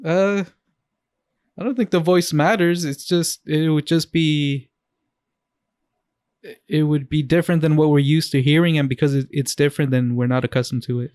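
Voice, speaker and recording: A man's voice: on the high side, steady tone, normal speed, pauses often, a little mellow, dry recording. A man co-hosting a podcast, chatting casually in a playful, rambling way on a close mic. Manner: playful, rambling, talks over others. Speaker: podcast co-host. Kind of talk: casual chat.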